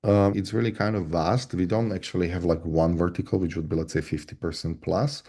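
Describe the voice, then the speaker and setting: Man in his thirties from Slovakia; low, mellow voice, halting and full of restarts, on a video call. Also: fairly even intonation, breathy edge. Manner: halting, many restarts and fillers. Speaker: voice agent platform lead. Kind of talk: casual panel discussion.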